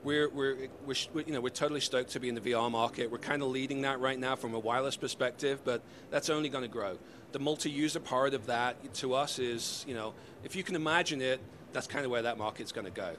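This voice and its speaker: A man's voice: medium pitch, fast, hardly any pauses, fairly bright tone. A man in his forties from the UK with a slightly mixed British accent, explaining products with upbeat, salesy energy in a trade-show interview, speaking into a handheld mic in a convention hall. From United Kingdom. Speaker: company representative. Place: busy convention hall booth. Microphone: handheld mic held by interviewer.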